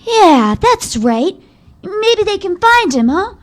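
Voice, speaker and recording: High young boy acted by a woman, earnest, slangy, halting, worried loyal son, vintage radio drama, live stage. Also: big pitch swings, faint music behind, heavily acted. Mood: indignant, hopeful. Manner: halting, slangy, gee-whiz exclamations. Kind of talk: old-time radio drama acting before a live audience. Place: live stage performance in a hall.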